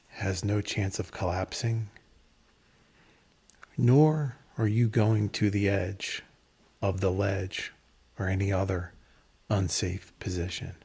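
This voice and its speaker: A man leading a guided meditation in a measured, instructional manner, pausing between phrases, with a breathy, husky voice. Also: lowish voice, dark tone.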